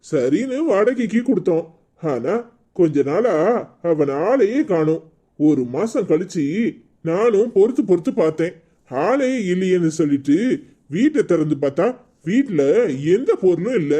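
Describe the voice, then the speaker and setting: A man's voice: high-pitched, very animated, normal speed, warm tone. A man voicing a blunt, indignant older village man, scolding and exclaiming in a cartoon drama. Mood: matter-of-fact.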